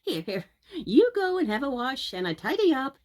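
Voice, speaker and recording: A woman voicing an ogre's tall, bustling wife in a storybook tale, brisk, bossy and scolding. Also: sits high, sing-song, voice pushed up. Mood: soothing, bossy.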